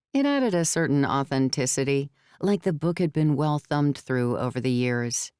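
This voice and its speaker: Very low, smooth female narrator. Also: darkish tone, no echo, studio quality. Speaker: audiobook narrator. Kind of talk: scripted third-person fiction narration.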